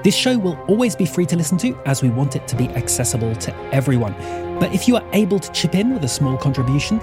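A man announces podcast credits from a script. His voice sits on the high side and moves around expressively, with clear music behind it. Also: big pitch swings, fast talker. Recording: music under the voice.

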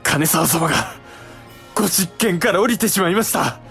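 A man's voice: high voice, normal speed, creaky, a bit bright, soft background music. A man playing an earnest, anxious young samurai younger brother who speaks politely in a period audio drama. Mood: alarmed.

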